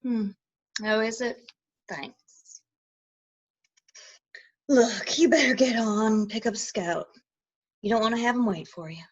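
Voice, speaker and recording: A woman's voice: on the high side, slow, pauses often, slightly rough, dull audio. A woman voicing a lonely, timid elder sister in a stage play reading, talking hesitantly and tearfully with stammers. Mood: gentle, subdued.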